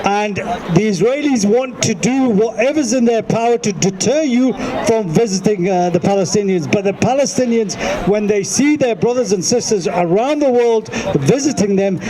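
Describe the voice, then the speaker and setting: A man's voice: high-pitched, low-quality recording. A man giving an earnest speech at an outdoor rally, listing his points one by one with some fillers. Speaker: rally speaker. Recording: crowd and street noise outdoors.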